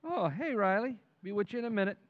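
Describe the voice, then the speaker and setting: A man's voice: high voice, lively intonation, fast talker. A man playing a nosy, wisecracking working-class neighbour in an old-time radio comedy, brash and slangy. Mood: brash, needling. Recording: dull, narrow-band sound.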